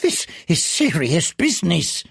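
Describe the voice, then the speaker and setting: A man's voice: a little high, big pitch swings, a little slow, creaky, fairly bright tone, breathy edge, dry recording, voice pushed up, heavily acted. Frail old gambler, breathless and pleading, voiced by a male reader, dry close sound. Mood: muttering. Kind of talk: audiobook character voice.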